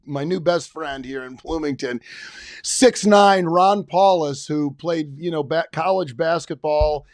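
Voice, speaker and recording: A man's voice: fairly high pitch, measured pace, no room sound, studio quality. A middle-aged man co-hosting a podcast, chatting casually and rambling with many restarts. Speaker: podcast co-host. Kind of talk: casual chat. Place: his home.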